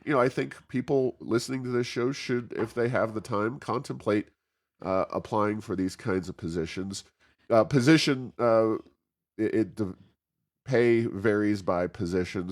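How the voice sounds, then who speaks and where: An American man hosting a conversational interview, speaking haltingly as he searches for his words. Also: lowish voice. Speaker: podcast host and think tank president.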